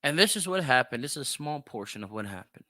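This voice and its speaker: A man's voice: medium pitch, big pitch swings, fast, bright, forceful tone. A man hosting a solo opinion podcast, speaking emphatically and repeating phrases for effect. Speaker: podcast host.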